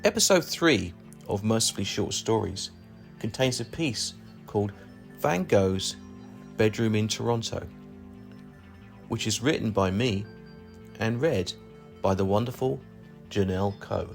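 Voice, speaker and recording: A man hosts a story podcast with a scripted introduction. His voice is on the low side and gravelly, with music playing behind him. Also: expressive, unhurried, pauses often. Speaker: podcast host and author.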